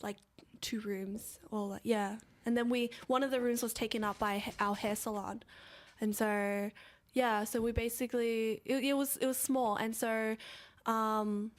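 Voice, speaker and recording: Young aussie woman on a podcast, kinda high voice, rambly, lots of um and like. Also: fairly even intonation, unhurried. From Australia. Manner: rambling, many fillers and restarts. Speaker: podcast guest. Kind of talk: casual chat.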